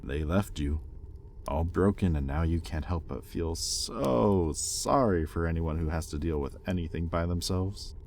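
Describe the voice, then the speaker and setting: A man playing an exasperated, possessive demon master in an audio roleplay, sardonic yet grudgingly caring, speaking with pointed pauses. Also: low voice, darkish tone, a little hiss or hum. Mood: probing, scornful. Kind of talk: intimate audio roleplay, dramatic monologue.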